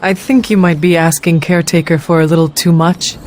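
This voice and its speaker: A woman's voice: lowish voice, fairly even intonation, a little fast, darkish tone, slight background noise, natural voice. A woman playing an earnest, determined young warrior leader who speaks formally and firmly in a video game. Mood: measured. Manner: formal, avoids contractions. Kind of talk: video game cutscene acting.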